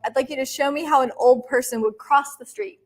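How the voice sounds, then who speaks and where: A woman interviewing people on camera, giving friendly, clear directions. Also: high-pitched, steady tone, a little fast. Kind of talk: video interview prompts. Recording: video clip with music behind.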